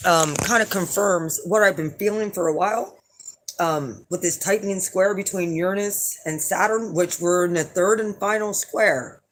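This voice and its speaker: Hoarse middle-aged woman, rambling and blunt. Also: on the low side, background noise. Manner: rambling and blunt, with frequent fillers and some swearing. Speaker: astrology reader and channel host. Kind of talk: casual chat to viewers.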